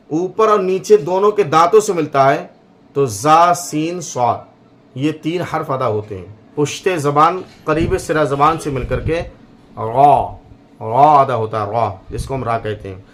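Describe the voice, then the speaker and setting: A man giving a slow religious lesson on pronouncing letters, repeating each sound to demonstrate it. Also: fairly high pitch, a bit bright. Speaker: Quran teacher.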